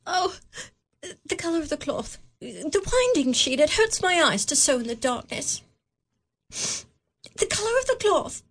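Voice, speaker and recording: An actress playing a worn, grieving mother in a radio drama, quiet and tender. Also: high voice, big pitch swings, unhurried, long pauses, exaggerated voice.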